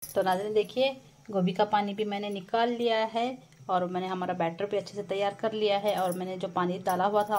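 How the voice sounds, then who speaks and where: A woman's voice: mid-range voice, a little hiss or hum. Homely woman cooking over sizzling oil. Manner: addresses viewers often as nazreen, unhurried and homely. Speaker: cooking vlogger. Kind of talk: casual step-by-step cooking narration. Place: home kitchen beside frying pan. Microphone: phone camera over the stove, hands only. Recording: home kitchen with loud frying sizzle. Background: oil sizzling in the pan.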